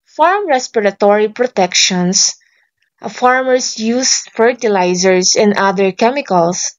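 A woman teacher slowly reading farm safety lesson slides aloud, item by item. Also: mid-range voice, a little mellow, lo-fi sound. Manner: slow, deliberate reading of lists.

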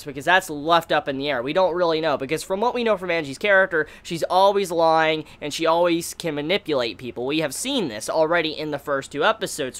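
A man's voice: fairly high pitch, a bit bright. Young American man, rambling casual reviewer. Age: 20s. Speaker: anime reviewer. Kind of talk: casual review talk to camera. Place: small indoor room lined with bookshelves.

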